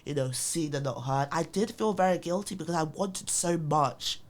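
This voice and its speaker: A woman's voice: low-pitched, normal speed. A young woman with a London accent, a podcast guest telling stories in casual chat with lots of fillers and restarts. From born in Botswana, raised in London. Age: young adult. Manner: animated storytelling, many fillers and restarts.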